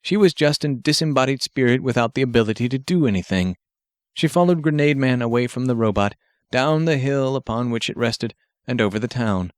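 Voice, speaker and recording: A man's voice: medium pitch, lively intonation, fairly quick, clean tone, no echo. Male audiobook narrator, dry sound. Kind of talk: scripted fiction narration.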